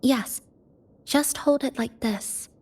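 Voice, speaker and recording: Young female game guide, composed, reflective, measured delivery, cutscene dialogue. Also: high voice, a little mellow, slightly rough, heavily acted. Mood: calm, explaining. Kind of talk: video game cutscene voice acting.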